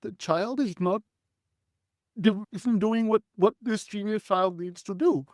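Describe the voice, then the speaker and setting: A man's voice: sits high, a little slow, darkish tone, no room sound. Man, forties, from Israel, Hebrew speaker, earnest guest storytelling, dry close sound. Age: 40s. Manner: earnest, reflective, clears throat often. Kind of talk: conversational life storytelling.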